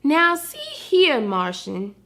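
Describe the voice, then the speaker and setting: A woman's voice: high voice, huge pitch swings, takes it slowly, fairly bright tone, heavily acted. A woman voicing a mischievous, earnest little boy puppy with babyish grammar in a children's storybook. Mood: scolding. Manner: babyish grammar, says dat for that. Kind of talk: read-aloud children's storybook character.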